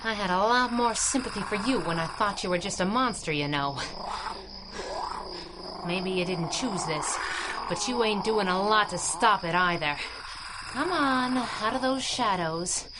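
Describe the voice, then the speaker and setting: An actress playing a plucky, flirtatious masked sidekick, wisecracking in a 1930s-style adventure radio drama. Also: female voice, medium pitch, expressive, unhurried, noisy background, natural voice. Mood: taunting. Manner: wisecracking, saucy.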